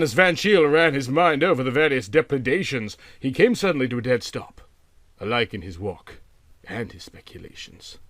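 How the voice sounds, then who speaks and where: Man, mid-pitched, literary audiobook narration, expressive and dramatic, very dry acoustic. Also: dry recording. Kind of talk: scripted literary story narration.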